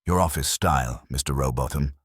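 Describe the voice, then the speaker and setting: A man playing a mild, shrewd police inspector in an audio drama, quiet and dryly probing. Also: a bass voice, fairly quick, slightly rough, dry recording, clean studio sound.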